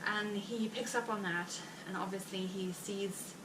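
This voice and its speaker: A chatty woman with a South African Afrikaans accent talks loosely to her viewers, heavily pregnant and full of fillers and little laughs. She sits well back from the mic in a roomy space, and a steady noise runs beneath her voice. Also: medium pitch, far from the mic. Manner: chatty and rambling, with frequent fillers and quick laughs. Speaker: vlogger. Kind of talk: casual chat to viewers.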